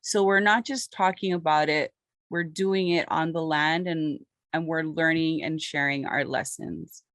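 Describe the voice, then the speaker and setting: A woman from Hawaii presents her botany research as a lecture with slides. Her voice sits on the lower side and she speaks in a steady, earnest way. The sound is dry and close, with no room echo. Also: unhurried.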